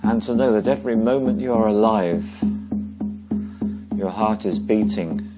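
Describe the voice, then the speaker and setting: A man leading a guided meditation slowly and gently, pausing between short phrases. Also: fairly low pitch, over loud music.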